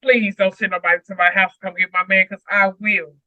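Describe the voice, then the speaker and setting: A woman's voice: mid-range voice, flat delivery, fast talker, no room sound. A woman co-hosting a Bible read-along, speaking informally in casual chat and reading aloud, on a muffled home stream mic.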